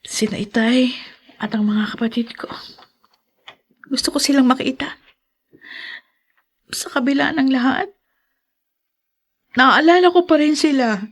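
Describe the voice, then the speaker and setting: An earnest young woman, highish voice. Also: measured pace, long pauses. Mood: wistful. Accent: Filipino. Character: earnest, respectful young businesswoman, longing for family. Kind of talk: Filipino radio drama acting.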